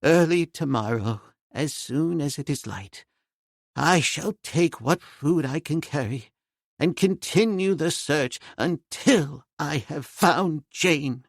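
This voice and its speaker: A man voicing an elderly professor who speaks slowly and gravely, resigned in his grief. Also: fairly high pitch, lively intonation, no room sound, clean studio sound, exaggerated voice. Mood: grave resolve. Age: older. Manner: slow, grave, resigned speech. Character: grief-stricken elderly male professor, solemn.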